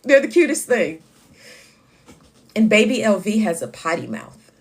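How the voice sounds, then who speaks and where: A woman, hosting a casual live chat with a loud voice that carries and reading viewer comments aloud. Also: mid-range voice, very animated, a little slow. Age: 50s. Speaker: livestream host.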